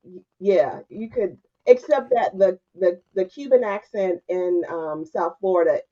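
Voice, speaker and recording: Mellow-voiced woman hosting on muffled audio. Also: on the low side, unhurried. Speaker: podcast co-host. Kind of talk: interview intro and questions.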